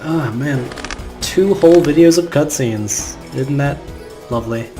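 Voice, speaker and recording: High-voiced man rambling over a game. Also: unhurried, warm tone. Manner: rambling, nitpicking. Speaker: gameplay commentator. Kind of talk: casual let's play commentary. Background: game music.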